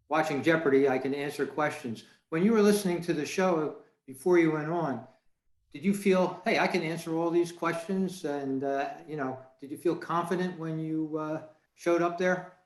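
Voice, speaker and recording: Male audience questioner, fairly high voice, casual delivery, very roomy sound. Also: moderate pace. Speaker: audience member asking questions. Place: remote video call. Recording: echoey room over video call.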